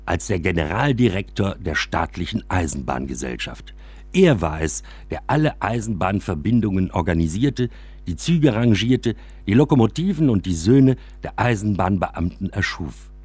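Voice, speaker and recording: Expressive low-voiced wry storyteller. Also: male voice, slightly rough, dry recording, full character voice. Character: man recounting his railway-side childhood with wry exaggeration. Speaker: narrator. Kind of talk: scripted first-person radio play narration, storytelling.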